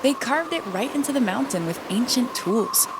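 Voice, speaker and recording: A proud, friendly boy guide read by a woman in a children's storybook. The voice is fairly high and welcoming, as if showing a guest around, on a noisy recording. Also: lively intonation, moderate pace. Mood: explaining. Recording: clearly noisy background.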